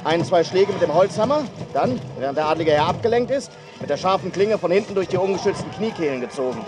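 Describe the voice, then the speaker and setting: An actor playing a brisk male reporter of brutal medieval combat in a radio drama. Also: high-pitched, animated, noisy background. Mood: brisk, grim. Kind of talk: radio drama fast battle commentary.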